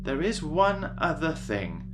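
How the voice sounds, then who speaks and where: Man reading as a kindly firm teacher, emphatic, big pitch swings, children's storybook, guitar music behind. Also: very animated, slow. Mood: firm instructive.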